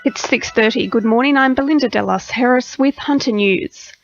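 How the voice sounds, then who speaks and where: A woman's voice: a little high, normal speed, faint music behind. An Australian woman reading a scripted radio news bulletin as the newsreader. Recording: slightly dull radio broadcast audio.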